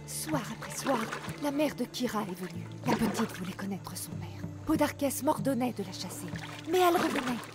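Une actrice de doublage jouant une mendiante triste, ancienne servante, au ton grave de confidence, dans un jeu vidéo. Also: female voice, fairly high pitch, animated, normal speed, over loud music, close to an everyday voice. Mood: sorrowful. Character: sorrowful beggar woman, former servant. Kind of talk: dubbed video game acting.

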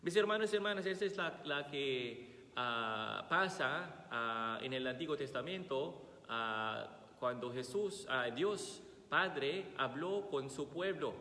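A man in his thirties with a Filipino accent, a priest with a slight cold, reading the Gospel and preaching slowly with many pauses and "ah" fillers. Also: mid-range voice, animated, pushed and bright. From Philippines. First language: Tagalog. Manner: slow and halting, many "ah" fillers while finding words. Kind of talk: Gospel reading and homily at Mass. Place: large church, seated at altar. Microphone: fixed camera across the altar. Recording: echoey church with long reverb.

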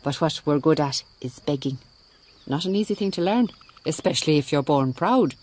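Radio drama nun in charge: low voice, composed, dry, unbending; noisy recording. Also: female voice, lively intonation, darkish tone. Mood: calm persuasion. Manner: measured, dryly ironic. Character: shrewd, composed Mother Superior, devout and dryly unyielding. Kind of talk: radio drama acting and narration.

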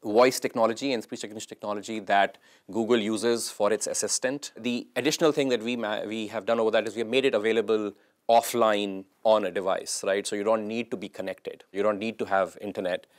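A man's voice: fairly low pitch, fairly even intonation, a little fast. A man in his thirties giving an explanatory interview as a member of a product team.

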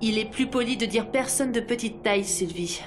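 A woman's voice: on the high side, moderate pace, music in the background, close to an everyday voice. A woman playing an authoritative rebel commander in an audio drama, formal and firm.

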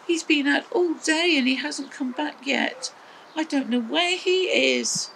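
A woman's voice: high-pitched, unhurried, creaky, fairly bright tone, a little hiss or hum, voice pushed up, full character voice, rough recording. An English woman voicing a worried, caring female dog in a children's story, urgent and pleading.

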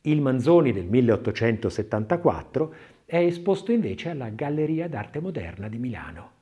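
A man narrating a scripted audiobook in an expressive, literary, dramatic reading style. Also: medium pitch, normal speed, highs cut off.

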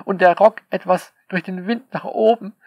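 A man's voice: high-pitched, fairly even intonation, normal speed, no room sound, lo-fi sound. A man, the author, speaking a sarcastic, polemical monologue to his listeners and peppering it with rhetorical questions. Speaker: author reading commentary on his novel.

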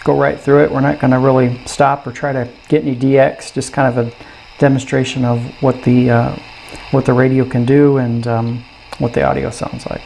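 A man's voice: mid-range voice, flat delivery, normal speed, creaky, darkish tone, slight background noise, low-quality recording. A middle-aged man giving a casual, unscripted product review with easygoing, hesitant phrasing. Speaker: host of a gadget review channel.

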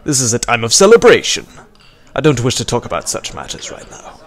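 A man voicing a genial, wealthy mansion host and factory owner who brushes off trouble with a smooth, hospitable manner. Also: medium pitch, huge pitch swings, a little fast. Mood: breezy. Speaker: party host.

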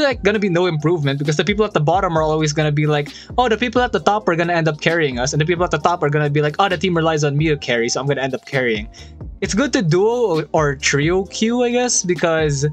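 A young man, hosting and reading viewer comments in a joking, casual chat, recorded on a desk mic in a small room. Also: fairly high pitch. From Philippines. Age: young adult. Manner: joking, laughs often, animated hand gestures. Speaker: channel host reading comments. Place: small room with a curtain behind. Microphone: headset and desk mic.

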